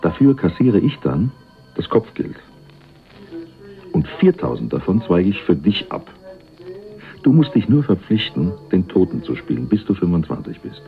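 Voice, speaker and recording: An actor playing a cocky, laid-back small-time crook in a radio crime drama, talking in a casual, slangy, sly way. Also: male voice, sits low, sing-song, moderate pace, pauses often, narrow, tinny audio, faint music behind. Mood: sly, persuasive. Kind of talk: 1980s German radio crime drama acting.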